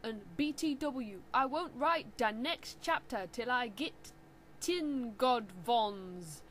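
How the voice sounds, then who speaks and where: A woman's voice: high voice, pitched above the performer's own voice. A woman voicing a defensive, petulant teenage fan fiction author who whines and mangles her words in indignant notes to readers.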